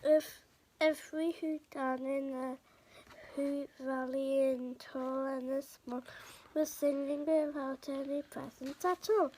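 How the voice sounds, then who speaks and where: A woman's voice: sits high, little pitch movement, darkish tone, poor audio. A woman reading a children's storybook aloud as a podcast host, stumbling and repeating words now and then. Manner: halting, stumbling reader who repeats words. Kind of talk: read-aloud storybook narration.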